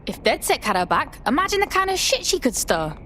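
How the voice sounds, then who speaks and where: A woman's voice: sits high, big pitch swings, brisk, fairly bright tone, a little hiss or hum. An actress playing a foul-mouthed young female rebel, brash and blunt.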